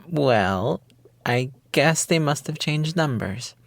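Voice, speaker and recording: A man's voice: fairly high pitch, lively intonation, takes it slowly, vocal fry, exaggerated voice. A man playing a coy, playful romantic partner who is secretly a witch, in an intimate ASMR roleplay, flippant and evasive. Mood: flustered, evasive. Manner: flippant, evasive, teasing, cutesy slang. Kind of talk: intimate ASMR roleplay monologue, girlfriend-experience script.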